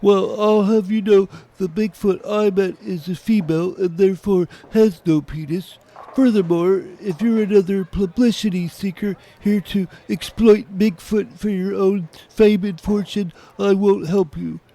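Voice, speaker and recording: A man's voice: high-pitched, fairly even intonation, unhurried, mellow, low-quality recording. A man playing a big middle-aged cryptozoologist in an audio drama, prickly, wary and pedantically literal. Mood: wary, defensive. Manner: stiff, pedantic, hesitant.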